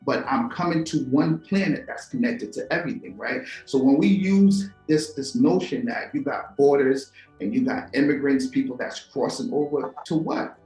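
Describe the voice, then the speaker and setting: A man's voice: mid-range voice, normal speed, few pauses, darkish tone, roomy sound, soft background music, rough recording. A man from Trinidad and Tobago hosts a talk show, musing to viewers with rhetorical questions and repeated words. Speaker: podcast host. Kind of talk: philosophical monologue to viewers.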